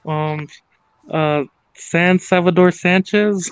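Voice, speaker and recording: A man's voice: sits high, fairly even intonation, slow pace, clean tone, dry recording. A man from the United States in a casual chat, talking hesitantly and searching for words. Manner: hesitant, many fillers and restarts. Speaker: guest. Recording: dull, dry sound.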